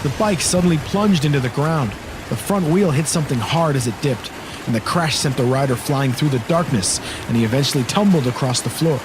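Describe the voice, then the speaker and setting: A man's voice: mid-range voice, expressive, brisk, heavy background noise. A man narrating a scripted horror audio drama in a dramatic storytelling style. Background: storm rain sound effects and music.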